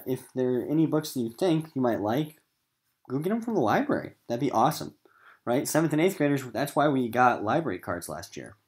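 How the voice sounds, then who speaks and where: A man with a mid-pitched voice teaches literature to middle schoolers in a recorded lesson. He talks informally and conversationally, addressing the students directly as he explains what he expects. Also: darkish tone. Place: voice-over on a screen recording of slides.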